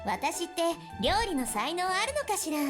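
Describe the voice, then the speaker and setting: Proud playful visual novel girl, high voice, anime game acting, quieter game audio with music. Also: very animated, fast. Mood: smug, teasing.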